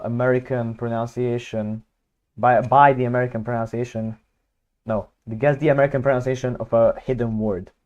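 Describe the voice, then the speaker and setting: A man's voice: mid-range voice, a little mellow, dull audio. A young man whose first language is Hungarian, chatting casually on a livestream and thinking aloud, hesitant with many fillers and restarts. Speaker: streamer.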